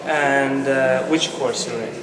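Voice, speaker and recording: A man's voice: mid-range voice, fairly even intonation, takes it slowly. A young man interviewing a student, often rephrasing his questions as he goes. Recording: distant mic in echoey hall with crowd.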